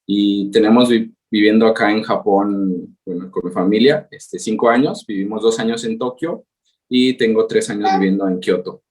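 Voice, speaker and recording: A man's voice: lowish voice, normal speed, a little mellow. A Mexican man in his thirties with a Mexican accent, giving a webinar talk over a video call, hesitant with many fillers. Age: 30s. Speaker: guest presenter. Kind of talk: webinar presentation. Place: home, working remotely in Japan.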